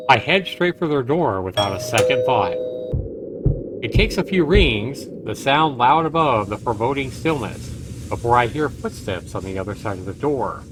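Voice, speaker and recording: A man's voice: mid-range voice, animated, unhurried. Male streamer narrating over noticeable noise. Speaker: livestreamer. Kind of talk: reading game text aloud with casual asides. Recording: clear background noise on stream.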